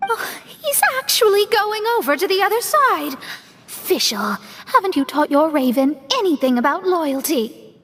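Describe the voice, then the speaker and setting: Very high, lively teasing anime girl. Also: a little slow, full character voice. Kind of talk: anime game voice acting.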